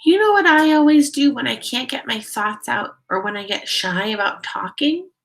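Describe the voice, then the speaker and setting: Woman as a gentle, creaky-voiced school librarian. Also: a little high, lively intonation, moderate pace, distant mic, pitched above the performer's own voice. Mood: reassuring. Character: understanding, soft-spoken female school librarian.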